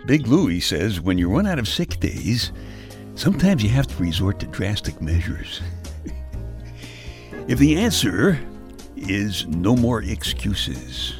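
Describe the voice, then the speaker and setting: A man's voice: fairly low pitch, slow pace, long pauses, music in the background. A man with a Brooklyn accent giving a wry, scripted comic monologue, chuckling at his own jokes. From Brooklyn, New York. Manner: wry deadpan storytelling with chuckles. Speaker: radio humor host.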